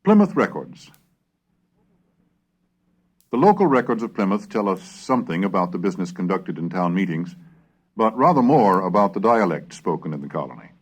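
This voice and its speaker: A man reading scripted historical narration in a formal, measured, expressive manner, as the narrator of a recorded lesson. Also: low voice, breathy edge.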